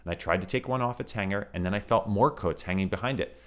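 A man's voice: fairly low pitch, fast talker, smooth, lightly acted. A man voicing an earnest young girl telling a run-on story. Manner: breathless storytelling.

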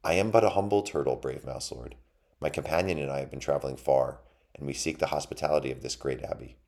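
A man's voice: rumbling low, level tone, brisk, a little mellow. A man voicing a noble, humble male turtle knight with a formal, chivalrous way of speaking.

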